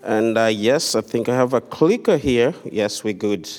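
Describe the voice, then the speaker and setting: A man with a Kenyan accent speaks to a congregation at a middle pitch, earnest and conversational with a light touch of humor. His voice rings out with a long reverb through a large church hall. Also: expressive, unhurried, darkish tone. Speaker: visiting missionary speaker. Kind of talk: church mission report. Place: church sanctuary.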